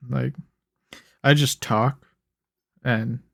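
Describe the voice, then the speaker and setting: A young man giving laid-back gameplay commentary in a flat, monotone ramble, his voice a bit hoarse and rough. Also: drawn-out words, no room sound, clean studio sound. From Canada. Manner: monotone, low-key rambling. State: slightly hoarse. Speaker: gaming YouTuber. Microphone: desk microphone.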